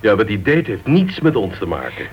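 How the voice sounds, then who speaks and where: A wry crime writer in a radio play, with very animated, swooping intonation. He is teasing and argumentative. The recording is old and dull, faintly noisy. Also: fairly low pitch, normal speed. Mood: persuasive. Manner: teasing, ironic. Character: wry, argumentative male crime writer, tolerant club member. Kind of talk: Dutch radio play acting.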